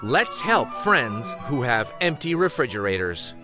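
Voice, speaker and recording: A man reading a children's picture book aloud in an expressive, deliberate, emphatic storytime style. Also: medium pitch, over music. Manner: deliberate, animated, emphatic phrasing.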